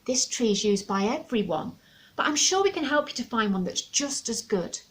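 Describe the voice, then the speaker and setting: A woman's voice: fairly high pitch, animated, normal speed, close to an everyday voice, rough recording. A woman voicing a small, timid male hedgehog who speaks politely and in a hurry.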